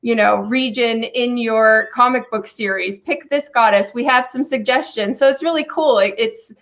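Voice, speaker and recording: A woman comic artist in a casual interview, bubbly and quick to laugh. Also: a little high, a bit bright. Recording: dull lo-fi audio.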